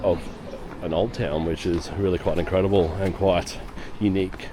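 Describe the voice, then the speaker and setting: A man with a hoarse voice from a lingering cough, giving chatty walking-tour commentary on busy city streets. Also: sits low, steady tone, moderate pace. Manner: chatty, rambling, with fillers. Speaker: travel vlogger. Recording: outdoor street noise. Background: city street noise and traffic.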